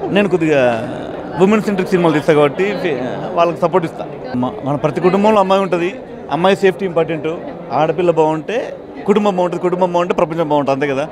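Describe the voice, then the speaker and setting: High-voiced, quick Telugu man in crowd. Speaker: filmmaker being interviewed. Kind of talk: earnest interview remarks. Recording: busy crowd noise behind.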